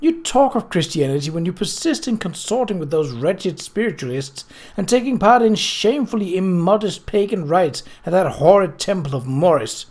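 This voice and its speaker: A man's voice: high-pitched, animated, moderate pace, hardly any pauses, a little mellow. A man voicing a cross, pious middle-aged widow in a storybook, indignant and sanctimonious. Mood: shrill indignation.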